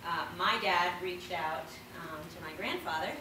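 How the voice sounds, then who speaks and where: Middle-aged woman, low-pitched, in very echoey room. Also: moderate pace. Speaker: parent on a panel, interpreter. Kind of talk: reflective panel talk. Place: panel discussion in a room. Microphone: distant microphone. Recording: very echoey, distant, noisy room.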